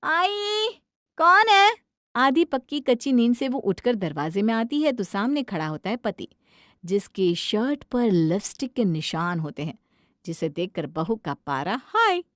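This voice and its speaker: A woman's voice: mid-range voice, very animated, moderate pace, no room sound, studio quality. A woman storyteller giving dramatic narration for a cartoon story.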